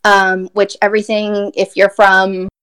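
A woman's voice: medium pitch, completely flat delivery, measured pace, a bit bright, clean tone. A woman poet from Brazil giving a reflective, thoughtful interview answer, with many fillers and restarts.

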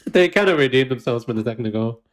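A man's voice: medium pitch, lively intonation, quick pace. Giggly, chatty man recapping a show. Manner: chatty, giggly, lots of fillers. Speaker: podcast co-host. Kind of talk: casual recap chat.

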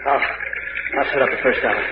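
An actor playing a frightened young explorer recounting his ordeal in an old-time radio horror drama, halting and dramatic. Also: mid-range voice, steady tone, lightly acted. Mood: weary. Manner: dramatic, halting when afraid. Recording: muffled, noisy old radio recording.

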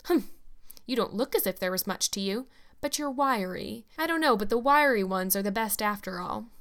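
A woman reading aloud, voicing a sharp, stingy, hard-driving middle-aged woman who talks curtly. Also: mid-range voice, lively intonation, moderate pace. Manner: curt, blunt, ungracious.

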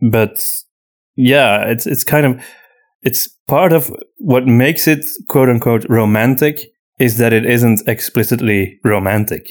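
A man hosting a podcast in casual conversation, explaining things in a measured, reflective way with hesitant pauses. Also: medium pitch. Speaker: podcast host.

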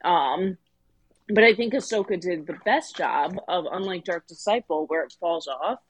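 A woman's voice: lowish voice, normal speed, breathy edge. A woman co-hosting a podcast, giving emphatic opinions on books in casual discussion. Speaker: podcast co-host reviewing books.

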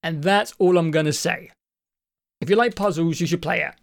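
A man co-hosting a casual podcast about games, his voice croaky because his throat won't clear, rambling along with restarts and the odd swear. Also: a little high, moderate pace. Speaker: podcast co-host. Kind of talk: casual chat.

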